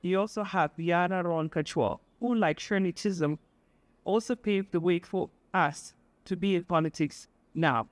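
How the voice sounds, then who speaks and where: A woman from Sudan, giving an earnest, measured speech as a guest speaker, pausing often with fillers. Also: fairly low pitch, dry recording. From South Sudan. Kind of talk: public speech at an event.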